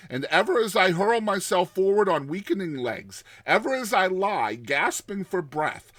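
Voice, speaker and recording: Man acting a haunted, terrified dreamer with swooping pitch. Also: fairly bright tone, no room sound. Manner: intense and dramatic, drawing out words. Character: haunted, terrified man recounting a recurring nightmare, near madness. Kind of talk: dramatized horror short story reading.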